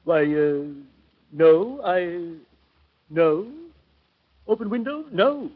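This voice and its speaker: A man's voice: high voice, sing-song, very slow, full character voice. An actor playing a nervous young male visitor who also narrates, in 1930s radio acting style. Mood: flustered. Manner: stammering, flustered. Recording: muffled old radio recording.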